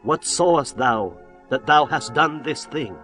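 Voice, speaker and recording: A righteous king of the ancient world, fairly high in voice and declamatory. His phrasing is formal and archaic, in the manner of dramatized scripture. Music plays behind his words. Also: measured pace, fairly bright tone. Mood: accusing. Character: indignant righteous ancient king confronting and pleading. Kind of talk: dramatized scripture audiobook acting.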